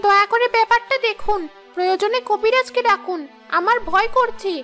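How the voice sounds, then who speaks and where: A woman playing a worried, pleading young mother in an animated fairy tale. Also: extremely high pitch, a little fast, soft background music. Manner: anxious, pleading.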